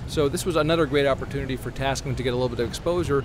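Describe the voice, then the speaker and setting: A man giving an upbeat, salesy product pitch as a guest on a casual podcast at a trade show booth. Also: rapid-fire. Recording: trade show hall noise behind.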